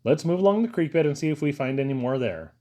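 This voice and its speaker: A man voicing a gruff but caring father, a seasoned hunter with a folksy, instructive way of talking. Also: on the high side, animated, fast talker, dark tone, lightly acted. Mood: matter-of-fact.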